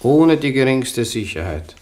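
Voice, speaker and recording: An actor playing a smug, penny-pinching, bigoted official in a radio play, creaky-voiced and grumbling, with an Austrian accent. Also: male voice, mid-range voice, very animated, a little slow, darkish tone. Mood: grudging. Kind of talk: German-language radio play dialogue.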